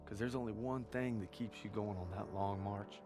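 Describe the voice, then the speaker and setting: A man's voice: on the low side, steady tone, moderate pace, close to an everyday voice. An actor playing an earnest, haunted young farm boy soldier from Texas, speaking solemnly and plainly with a Southern accent in a war game. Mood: solemn, reflective. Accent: American South, Texas. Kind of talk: cinematic war game acting with reflective narration. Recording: cinematic music behind the voice.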